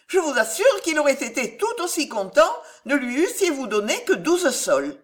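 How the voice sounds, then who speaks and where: Storybook role: worldly, scolding married lady, high and animated, full of disbelief female narrator performing. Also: normal speed. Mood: incredulous scolding. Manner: exclaiming, scolding. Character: incredulous, worldly married society lady, disapproving. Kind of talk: audiobook character voice.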